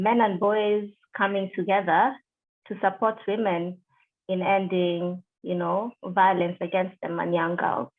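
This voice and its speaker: A middle-aged woman from Kenya answers interview questions over a video call. She talks in a measured way, pausing on fillers and restarting her phrases. Her voice comes through thin and tinny, as over a call line. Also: mid-range voice. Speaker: interviewee, deputy country director.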